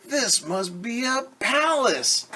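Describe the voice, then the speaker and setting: A man voicing a small, hopeful toy bear in a storybook read-aloud, speaking high and soft with wonder. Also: sing-song, slow, husky, full character voice. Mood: amazed.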